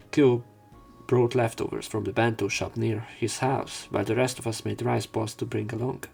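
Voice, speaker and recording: Guy doing a visual novel lets play, lowish gravelly voice, reads the story out and chats over it. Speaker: let's play host. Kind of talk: reading visual novel lines aloud with casual commentary.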